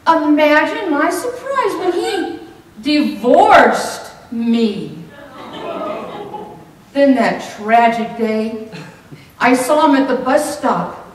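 A middle-aged woman performing a comedic tall tale on stage, with theatrical, deliberate delivery and dramatic pauses. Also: sits high, lively intonation. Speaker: speech contest contestant. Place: hotel banquet room, indoors. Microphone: far camera microphone. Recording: echoey room from far off, some noise.

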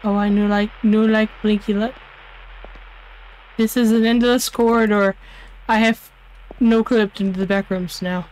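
A woman's voice: mid-range voice, flat delivery, normal speed, pauses often, a little mellow, poor audio. A woman streamer giving casual commentary on a horror game, muttering short, wary reactions to herself.

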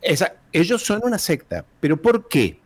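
A man talks as a guest historian over a video call. His pitch rises and falls a great deal, and he hammers his points home with rhetorical questions and repeated phrases. The sound is dry and close, from a large desk microphone in a small room at home. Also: wildly swooping pitch, moderate pace, no room sound.

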